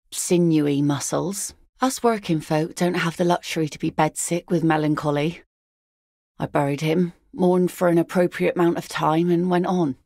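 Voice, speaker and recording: A woman's voice: on the low side, creaky, darkish tone, dry recording. A woman voicing a weary, middle-aged widowed fisherwoman from a video game, blunt and working-class, with a dry, sardonic, matter-of-fact way of talking. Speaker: game character.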